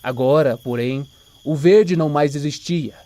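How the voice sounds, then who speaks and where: A man's voice: on the high side, unhurried, warm tone. A man with a Brazilian accent reading scripted, dramatic story narration for an audio drama.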